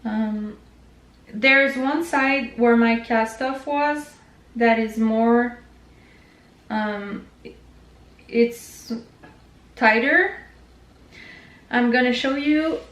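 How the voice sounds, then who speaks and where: Slow-talking French Canadian woman, echoey room. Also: fairly high pitch, a bit bright. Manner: chatty, informal, lots of fillers. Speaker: knitting channel host. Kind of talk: casual chat to camera.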